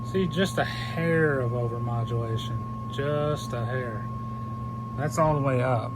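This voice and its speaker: A man's voice: medium pitch, unhurried. A man chatting casually as a CB radio hobbyist while demonstrating a repaired radio. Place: indoor electronics workbench. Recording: clearly noisy workbench recording, background hiss.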